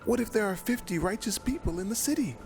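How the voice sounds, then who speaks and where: A man's voice: sits high, animated, fast, gravelly, darkish tone, voice pushed up. A man voicing an old male patriarch who humbly pleads and bargains, with music behind. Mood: pleading. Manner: earnest, imploring.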